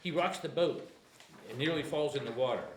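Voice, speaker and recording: A man's voice: mid-range voice, brisk. A man narrating screenplay directions at a table read, sometimes stumbling over the text. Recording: echoey room, faint noise.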